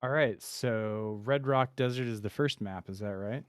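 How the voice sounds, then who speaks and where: Relaxed man analysing game strategy. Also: medium pitch, moderate pace, clean tone, dry recording, clean studio sound. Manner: relaxed, thinks out loud. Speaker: esports caster.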